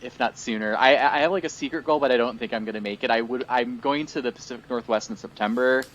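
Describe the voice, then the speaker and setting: A man's voice: mid-range voice, fast, fairly bright tone, dull audio, slight background noise. A man co-hosting a casual chat podcast, rambling and full of fillers while he is losing his voice. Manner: chatty and rambling, many fillers. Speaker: podcast co-host.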